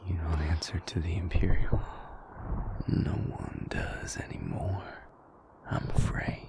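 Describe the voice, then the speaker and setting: A man's voice: low-pitched, little pitch movement, slow, vocal fry, heavily acted. A man voicing a cruel male vampire maker who taunts in a whispery, sneering way. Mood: mocking.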